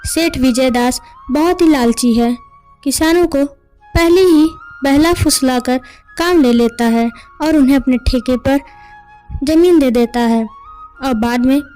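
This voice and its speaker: A woman's voice: sits high, a little slow, warm tone, faint music behind, poor audio. A woman narrator reading a scripted moral story in a bedtime tale style.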